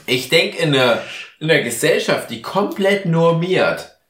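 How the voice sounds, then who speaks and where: A man's voice: fairly high pitch, animated, normal speed, a bit bright. A tipsy man co-hosting a podcast, rambling in a mock-philosophical way during a casual chat. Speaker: podcast co-host. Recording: echoey room.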